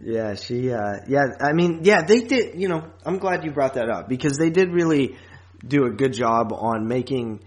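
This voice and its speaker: A man podcast host giving a casual film review in informal, slangy talk, often halting and circling back over his phrases. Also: mid-range voice, animated.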